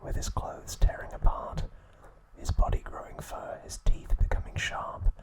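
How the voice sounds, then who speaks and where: Man whispering softly in ASMR style. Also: measured pace. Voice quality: soft unvoiced whisper. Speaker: ASMR host. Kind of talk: whispered ASMR narration of a story recap.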